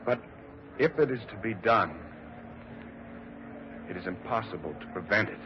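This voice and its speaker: An actor playing a shaken, grieving private secretary who speaks haltingly and solemnly in a 1940s radio drama. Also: male voice, lowish voice, expressive, lightly acted. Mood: grave, haunted. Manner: halting, solemn, repeats words. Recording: muffled, faintly noisy old radio broadcast.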